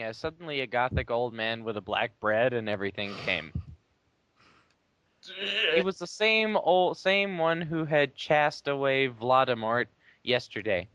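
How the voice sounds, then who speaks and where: A man's voice: medium pitch, pushed and bright, smooth, no room sound. A man giving a dramatic read-aloud in a game among friends. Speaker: player in a dramatic reading game. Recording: dull, lo-fi microphone sound.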